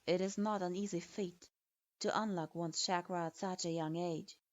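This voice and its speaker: A woman voicing an imposing male clan head who speaks calmly, in a measured and patronizing way, recorded with a dull lo-fi sound. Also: lowish voice, no echo, close to an everyday voice.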